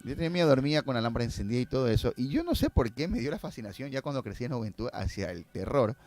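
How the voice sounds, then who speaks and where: A man's voice: mid-range voice, very animated, racing, a little mellow. A man speaking as a filmmaker guest in a casual interview, explaining and telling anecdotes, often tagging phrases with a questioning "no?".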